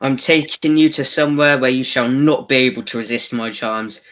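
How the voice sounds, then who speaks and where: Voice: mid-range voice, a bit bright, natural voice. An actor playing a gloating, scheming male villain in a theatrical radio melodrama. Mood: menacing, gloating. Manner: slow, gloating, theatrical delivery. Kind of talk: old-fashioned radio melodrama acting.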